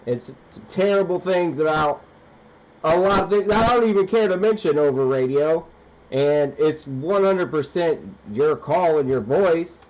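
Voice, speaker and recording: A man's voice: a little high, measured pace, darkish tone. An American man chatting casually over amateur radio in an informal, somewhat hesitant way. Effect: radio transmission. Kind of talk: casual two-way ham radio conversation. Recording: dull, band-limited ham radio transmission.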